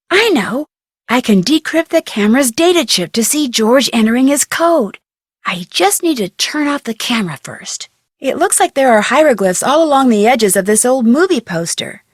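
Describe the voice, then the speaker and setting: A woman's voice: sits high, dry recording, studio quality. A woman voicing a curious, plucky young female detective in a video game, musing aloud expressively.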